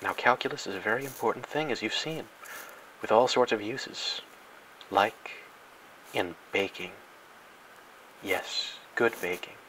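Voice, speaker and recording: A man with a fairly low, bright and forceful voice narrates a spoof educational film. He delivers it deadpan, with mock-serious grandeur, over some faint background noise. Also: measured pace, long pauses, poor audio. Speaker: narrator and host.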